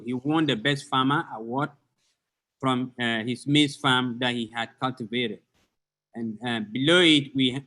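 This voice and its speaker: A man giving an informal presentation over a video call, speaking haltingly and searching for words. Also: mid-range voice, sharp tone. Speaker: guest presenter.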